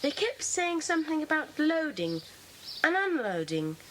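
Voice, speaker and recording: A puzzled, earnest young schoolboy in a children's mystery drama. The voice is high and animated, with faint cassette noise behind. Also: a little slow, full character voice. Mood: eager, puzzled. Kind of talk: children's audio drama acting. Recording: faintly noisy 1980s cassette.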